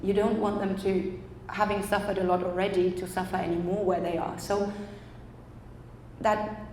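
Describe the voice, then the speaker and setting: A German photographer speaks at a public talk, chatty and quick to laugh, acting out the people she quotes. Her voice is mellow and dark. The sound is roomy, with a long hall reverb and faint ambience. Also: mid-range voice, little pitch movement, a little hiss or hum. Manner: chatty, laughs often, acts out quoted dialogue. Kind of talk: panel talk about her photo project.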